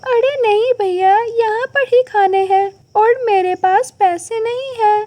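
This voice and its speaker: A woman's voice: extremely high pitch, slow, warm tone, no room sound, voice pushed up. A woman voicing a sweet, naive, hungry young runaway girl in a cartoon fairy tale. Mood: pleading, naive.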